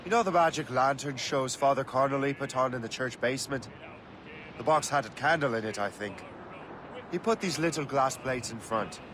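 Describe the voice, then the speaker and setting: An actor playing an earnest, questioning young assassin in a video game. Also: male voice, medium pitch, normal speed, background noise, natural voice. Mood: curious. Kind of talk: dramatic video game acting.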